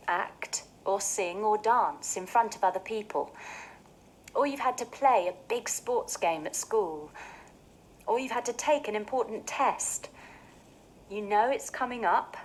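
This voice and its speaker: A woman hosting a scripted mindfulness session for children, speaking in a soothing, clearly phrased, gently expressive way. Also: mid-range voice, a little hiss or hum, low-quality recording. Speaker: host of a children's mindfulness show.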